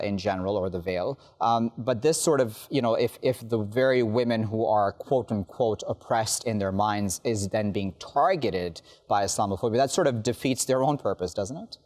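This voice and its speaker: A man's voice: fairly low pitch, normal speed, hardly any pauses, darkish tone. A man hosting a news panel, asking questions in a conversational way with many fillers. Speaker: host.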